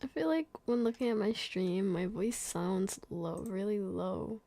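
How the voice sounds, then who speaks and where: A woman's voice: medium pitch, unhurried, vocal fry, warm tone, dry recording. A young woman streaming a game, chatting casually to herself in rambling thoughts that trail off mid-sentence. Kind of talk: casual live-stream chat.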